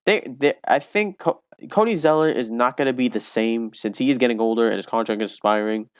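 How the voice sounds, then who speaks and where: A young man with a loud voice giving casual game commentary, rambling and restarting his sentences often. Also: mid-range voice, a little fast, smooth, no echo, clean studio sound. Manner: rambling with frequent restarts and fillers. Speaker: gaming commentator.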